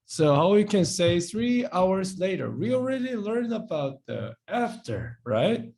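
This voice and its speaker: A man who speaks English, teaching in a live online class. He explains patiently, repeats words slowly and acts out short dialogues. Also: high voice, very animated, vocal fry, a little mellow. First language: Korean. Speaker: Korean language teacher.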